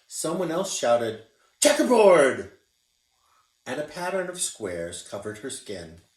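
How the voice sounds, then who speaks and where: A man reading a picture book aloud for children. He reads slowly with a wide, lively swing in pitch. The voice echoes in a roomy space, captured from a distance. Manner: expressive, showing pictures as he reads. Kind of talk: children's read-aloud narration.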